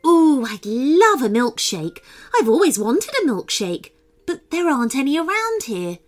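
A woman voicing a loud, bossy, cheerful beach-going woman in a storybook, booming and emphatic. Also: very animated, measured pace.

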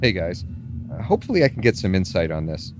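A man's voice: deep voice, big pitch swings, fast, noisy background. A man playing a skeptical internet forum poster in a horror audio drama, casual and dismissive. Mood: casual, curious.

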